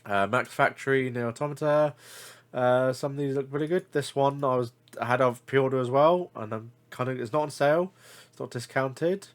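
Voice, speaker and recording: A man's voice: medium pitch, moderate pace. A man with a slightly stuffy, blocked-nose voice talks through deals in loose, unscripted commentary. He rambles and corrects himself often, filling gaps with hesitations. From United Kingdom. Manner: rambling, many fillers and self-corrections. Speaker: figure collector reviewing deals. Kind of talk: casual screen-share commentary.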